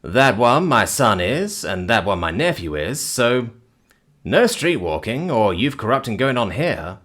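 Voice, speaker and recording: Young father, voiced by a male reader, husky, polite, hesitant, slyly mocking, animated intonation, audiobook dialogue. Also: mid-range voice, measured pace. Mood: flustered. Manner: hesitant, mock-deferential, oddly worded speech.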